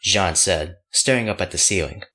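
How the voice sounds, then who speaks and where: A man's voice: deep voice, normal speed, sharp tone, husky. A man narrating a fan fiction audiobook from a script.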